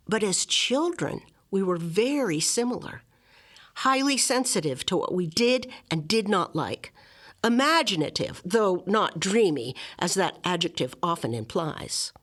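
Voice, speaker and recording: A woman reading a literary memoir aloud as scripted narration, with measured, articulate and expressive phrasing. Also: medium pitch. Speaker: reader of a literary memoir excerpt.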